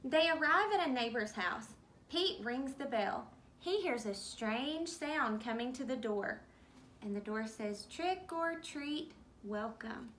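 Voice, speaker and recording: Slow, bright-voiced woman reading aloud. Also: fairly high pitch, animated, slight background noise. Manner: gentle, explains pictures to kids. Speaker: teacher reading to children. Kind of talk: picture book read-aloud.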